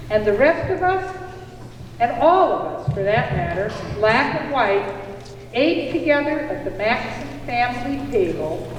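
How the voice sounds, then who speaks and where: A woman playing a folksy storyteller of the 1850s, deliberately narrating a puppet show to an audience. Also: sits high. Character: woman storyteller recalling 1850s events she lived through. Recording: echoey hall from far off, music.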